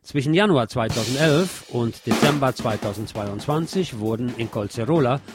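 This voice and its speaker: A man's voice: mid-range voice, animated, moderate pace. A man delivers a scripted news commentary in a mid-range voice. He speaks as a presenter, with guitar music playing faintly behind him.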